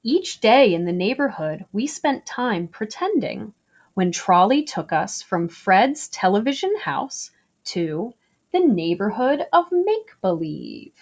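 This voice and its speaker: A woman reading a picture book aloud for children, with deliberate phrasing. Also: mid-range voice, big pitch swings. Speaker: story reader. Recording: slightly dull, lo-fi recording.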